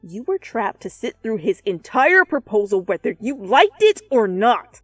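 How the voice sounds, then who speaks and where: A woman reading fan fiction as an expressive, dramatic narrator who swears freely. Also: a little high, a little slow, no room sound, studio quality. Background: soft music.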